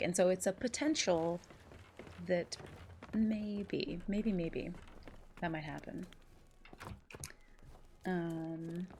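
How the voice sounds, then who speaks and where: A woman's voice: fairly low pitch, a little mellow, slight background noise. A woman streamer with a stuffy, congested voice, chatting casually and thanking viewers, rambling with lots of fillers and swearing. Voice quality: nasal, congested. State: stuffy nose. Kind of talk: casual live-stream chat, reading chat aloud.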